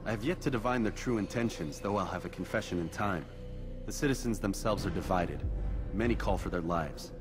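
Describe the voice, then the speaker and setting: A man's voice: low-pitched, a little fast, close to an everyday voice. A man playing a grave, proud assassin in a video game, speaking tersely and earnestly over music. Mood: resolute. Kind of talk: dramatic video game dialogue.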